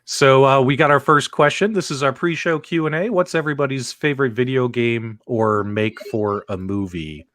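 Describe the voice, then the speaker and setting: A man's voice: medium pitch, normal speed, few pauses, fairly bright tone, no room sound. A man hosting a casual livestream show, chatting and explaining things to co-hosts and viewers.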